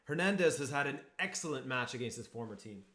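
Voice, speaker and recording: A man's voice: mid-range voice, expressive, quick pace, sharp tone. A man hosting a live football watchalong stream, chatting casually with viewers and reacting to the match. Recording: echoey room.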